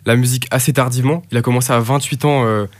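A man's voice: mid-range voice, fairly even intonation, racing, no echo, clean studio sound. A man hosting a live radio show, presenting casually, with frequent hesitations and fillers. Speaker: radio host.

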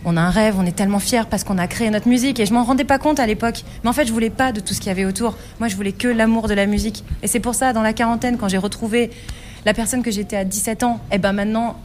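A woman working as an interpreter, relaying a guest's answers quickly and conversationally. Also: fairly high pitch, a little mellow, slight background noise. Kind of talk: live interpretation.

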